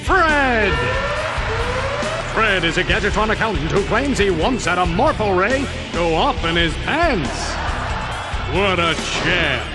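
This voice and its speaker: An actor playing a flamboyant, gleefully mocking game show announcer in a video game, with sarcastic, drawn-out hype. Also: male voice, high voice, sing-song, exaggerated voice. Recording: loud music behind the voice.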